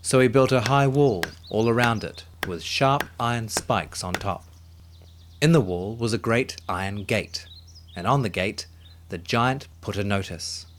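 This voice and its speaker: A man narrating a children's story in an expressive, dramatic way, with music behind him. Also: on the low side, a little slow, slight background noise.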